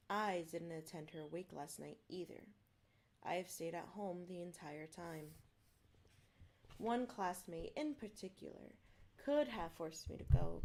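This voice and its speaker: Gravelly low-voiced woman, non-native English speaker. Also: mellow. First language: not English. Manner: apologetic, rambling, self-deprecating. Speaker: streamer reading a book aloud. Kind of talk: casual stream chat and read-aloud narration.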